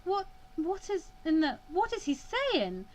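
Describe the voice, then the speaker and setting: A woman voicing a distraught, defiant young female student in halting, emphatic dialogue from a visual novel. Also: extremely high pitch, big pitch swings, a little hiss or hum, voice pushed up, full character voice. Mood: outraged, disbelieving.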